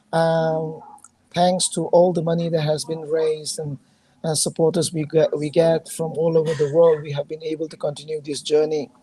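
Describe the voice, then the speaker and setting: A hoarse woman from Manipur, a charity founder speaking earnestly over a video call, pausing often with fillers as she loses her voice. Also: low voice, mellow, no echo, rough recording. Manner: halting, earnest, many fillers. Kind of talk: casual video call conversation.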